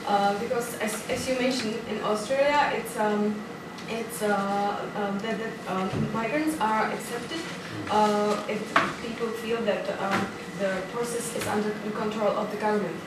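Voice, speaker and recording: A young woman puts a question haltingly, pausing often. Her voice is distant and heavily echoed in a noisy lecture room. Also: mid-range voice. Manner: halting, many pauses. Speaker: audience member asking a question. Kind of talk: hesitant spoken question. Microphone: far camera.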